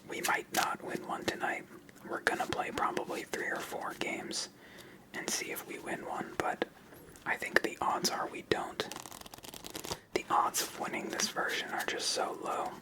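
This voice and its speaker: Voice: measured pace, rough recording. A person whispering ASMR game commentary close to the microphone, explaining each step as they go. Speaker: host playing a solitaire card game.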